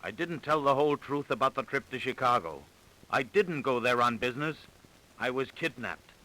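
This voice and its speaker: Glib defensive suspect, american, sharp bright voice, old 1930s radio show sound. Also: male voice, mid-range voice, lively intonation, moderate pace, rough recording. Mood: uneasy, evasive. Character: evasive, stubborn male murder suspect under questioning. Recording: vintage 1930s radio recording.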